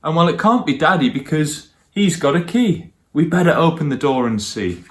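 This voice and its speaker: A man's voice: a little high, big pitch swings, moderate pace. A man voicing a polite, homely mother from a children's storybook, wondering aloud. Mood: puzzled. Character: Sophie's polite, puzzled young mummy at home.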